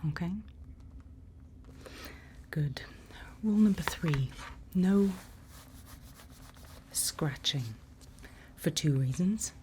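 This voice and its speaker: Female, low soft whisper for ASMR roleplay; slow, reassuring guide, faint ambient noise. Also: lively intonation. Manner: gentle, unhurried, reassuring instruction. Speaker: ASMR roleplay performer playing a night watch guide.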